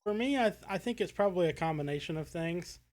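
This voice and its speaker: Slightly high-voiced man, hesitant podcast chat. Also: expressive, fast, a little mellow. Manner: hesitant, thinking aloud with many restarts. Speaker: podcast co-host. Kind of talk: casual discussion.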